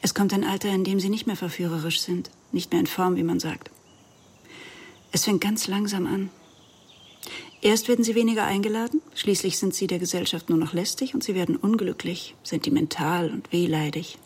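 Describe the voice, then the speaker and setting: An actress playing a self-assured, critical middle-aged woman in a radio drama, controlled and superior, with a breathy, slightly husky voice. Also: fairly low pitch, steady tone, moderate pace, darkish tone. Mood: cool, superior.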